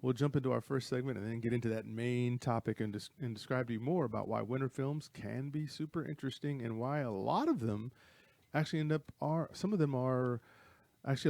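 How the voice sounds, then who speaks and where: A man's voice: medium pitch, a little fast, vocal fry, darkish tone, no room sound. A man hosting a casual movie talk show from a studio, rambling and correcting himself as he goes, with frequent chuckles. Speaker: host. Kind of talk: casual podcast chat.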